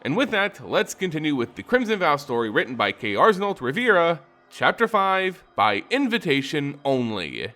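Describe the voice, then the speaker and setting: A man reading scripted fantasy narration as a host and storyteller, with a measured, dramatic delivery. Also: fairly high pitch, very animated, pushed and bright. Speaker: host and story narrator of a fan lore channel. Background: music under the voice.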